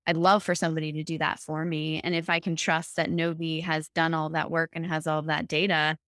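A woman founder being interviewed, explaining her company's platform in a fluent, steady pitch. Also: fairly quick, no echo, clean studio sound. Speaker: startup founder. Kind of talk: business interview.

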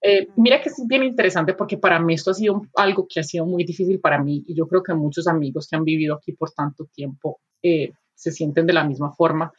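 A young woman with a Colombian accent chats on a podcast, telling stories with lively ease. Her voice is fairly low and husky. The recording sounds dull and muffled. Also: fast talker, hardly any pauses. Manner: chatty, animated storytelling. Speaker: podcast co-host. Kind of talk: casual podcast chat.

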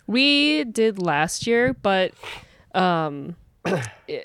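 A woman's voice: mid-range voice, sing-song, extremely slow, gravelly, fairly bright tone, smooth, no room sound, clean studio sound. A woman co-hosting a podcast in casual chat, chatty, rambling and playful. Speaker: podcast co-host.

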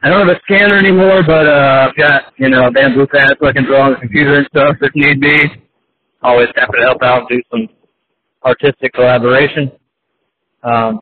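A laid-back man rambling casually as he leaves a voicemail over the telephone. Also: mid-range voice. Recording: noisy, clicky narrow phone line.

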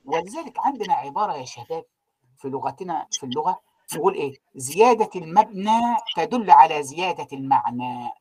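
A man giving an online university lecture, trailing off so students fill in the words, with frequent drawn-out fillers. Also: a little high, animated, darkish tone, slightly rough. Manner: keeps quizzing students with unfinished sentences, many drawn-out fillers.

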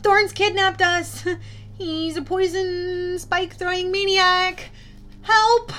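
A woman's voice: extremely high pitch, steady tone, slow, bright, forceful tone, faint music behind, pitched above the performer's own voice, exaggerated voice. A woman voicing an anxious, sarcastic young boy hero in an urgent, wry manner for a story. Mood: desperate pleading.

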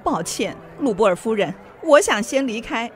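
A woman's voice: fairly high pitch, sing-song, normal speed. A woman acting in a radio drama as a haughty, envious, gossipy society lady with a theatrical, mocking delivery. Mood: indignant.

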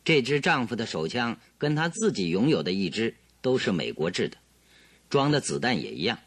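An assured detective with animated, dry studio delivery. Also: male voice, on the low side, normal speed, breathy edge, no room sound, exaggerated voice. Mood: assured, explaining. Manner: expository, assured, theatrical. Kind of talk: Chinese radio drama acting.

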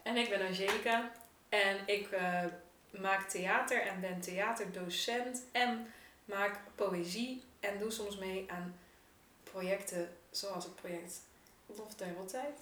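A woman's voice: mid-range voice, steady tone, slow pace, fairly bright tone, recorded from a distance. A woman, chatting casually as a guest. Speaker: guest, theatre maker and drama teacher. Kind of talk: casual chat. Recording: echoey room, off mic, faint noise.

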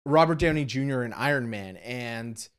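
A man's voice: medium pitch, normal speed, smooth. Rambling, reflective Canadian man. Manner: reflective, rambling, many fillers. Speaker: film podcast co-host. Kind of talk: casual movie review chat.

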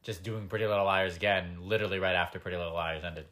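A man chatting as a guest on a casual podcast. He jumps in with quick corrections and talks in an excitable way. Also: low voice. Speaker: podcast guest, host's best friend.

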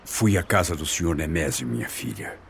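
An actor playing a caring, devout Brazilian father in a radio soap, speaking in a measured, earnest, soothing way. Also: very deep voice, animated, breathy edge, heavily acted. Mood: earnest, reassuring. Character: caring, reassuring father, devout expectant grandfather.

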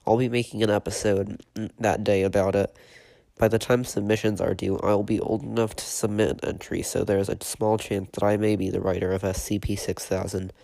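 A man hosting a podcast, stuffy from a cold, reading a written horror report in a steady, matter-of-fact way with a few hesitations. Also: fairly low pitch, vocal fry, dry recording. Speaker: podcast host and narrator.

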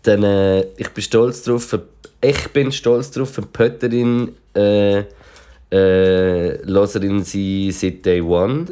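A man's voice: on the low side, steady tone, slow, dark tone, smooth. A man with a Swiss German accent, co-hosting a podcast and reading listener messages aloud in a casual, giggly way. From Switzerland. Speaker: podcast co-host.

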